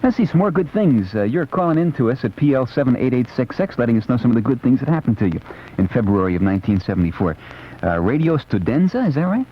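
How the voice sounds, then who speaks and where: An American man works as a late-night radio DJ, his pitch swinging freely as he chats. He is playful and rambles conversationally. The sound is a dull, noisy 1970s AM aircheck. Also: very animated, quick pace. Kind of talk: casual 1970s music radio chat. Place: radio studio.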